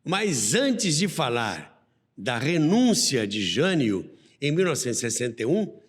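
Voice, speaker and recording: A man's voice: mid-range voice, very animated, a little slow, husky. A man with a Brazilian accent narrating a scripted documentary as the host of a radio history show. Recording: long reverberant hall sound.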